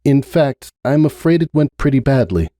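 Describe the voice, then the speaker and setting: A man voicing a troubled young female pony who speaks earnestly and hesitantly, as if softening bad news, in a dramatic story reading. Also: medium pitch, expressive, normal speed, no room sound, studio quality, pitched above the performer's own voice, natural voice. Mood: hesitant, regretful.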